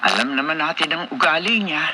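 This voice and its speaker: A woman's voice: low-pitched, expressive, a little fast, sharp tone, exaggerated voice. A woman with a Filipino accent acting a concerned, humble older mother in a Tagalog radio drama, in a very noisy broadcast. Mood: worried.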